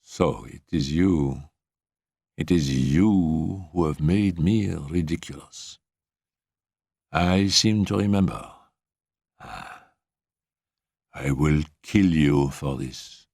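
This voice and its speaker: A man reading aloud, voicing a brooding, resentful girl who speaks slowly and quietly. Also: rumbling low, creaky, no echo, studio quality, full character voice. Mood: bitter. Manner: slow, quiet, heavy.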